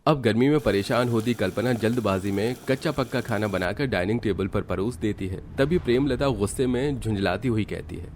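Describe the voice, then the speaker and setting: A man tells an animated story as its narrator. His voice sits fairly low and follows a written script. There is faint noise in the background. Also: a little fast, hardly any pauses.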